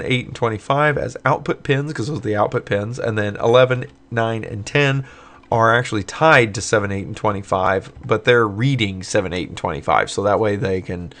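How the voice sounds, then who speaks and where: A man casually walking through code in a screen-recorded tutorial, his voice a little hoarse from a long night of recording, with many fillers as he thinks aloud. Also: fairly low pitch, fairly bright tone. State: voice getting hoarse late at night. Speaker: tutorial maker.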